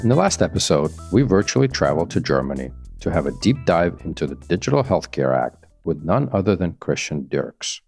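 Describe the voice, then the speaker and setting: A man's voice: low voice, gravelly, darkish tone, no echo, studio quality. A man hosting a podcast, reading an upbeat intro and then asking questions in an enthusiastic way. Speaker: podcast host. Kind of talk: scripted intro and casual interview questions.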